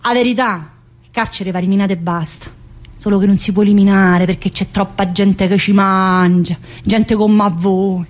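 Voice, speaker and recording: A woman's voice: mid-range voice, steady tone, moderate pace, natural voice. An actress playing a brash, restless middle-aged female prison inmate in a theatrical monologue, with a southern Italian accent and a chatty, confrontational manner. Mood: scornful, challenging. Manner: chatty, confrontational, with frequent interjections and questions. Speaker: stage actress performing a monologue.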